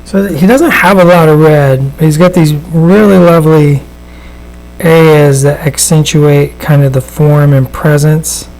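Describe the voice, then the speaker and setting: A man with a raspy voice, chatting casually and giving a live painting demonstration, with plenty of fillers and restarts. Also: on the high side, steady tone, unhurried, darkish tone, low-quality recording. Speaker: miniature painter and host. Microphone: studio microphone at a desk.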